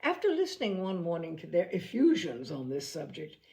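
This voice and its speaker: Expressive woman narrator with lively pitch. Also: brisk, gravelly. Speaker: audiobook narrator. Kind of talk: expressive literary storybook narration.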